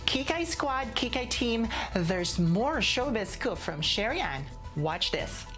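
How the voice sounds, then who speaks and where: A woman's voice: fairly high pitch, big pitch swings, takes it slowly, a bit bright, soft background music. A woman with a Filipino accent reading a scripted entertainment news report as a show host.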